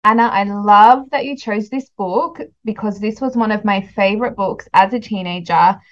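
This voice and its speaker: A woman's voice: mid-range voice, normal speed. Woman podcast host, slightly muffled sound. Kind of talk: podcast interview, warm book chat.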